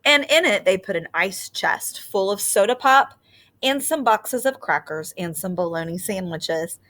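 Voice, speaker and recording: Female read-aloud teacher, mid-pitched, expressive and deliberate storyteller for young listeners. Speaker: teacher reading aloud to children. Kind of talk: picture book read-aloud.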